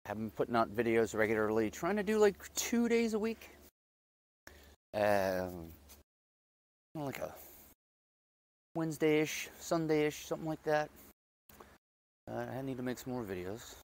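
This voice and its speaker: A man vlogging outdoors in his backyard garden on a lapel mic, his voice raspy from a loud night out as he chats in a rambling, self-deprecating way while doing chores. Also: medium pitch, sing-song, a little slow, pauses often.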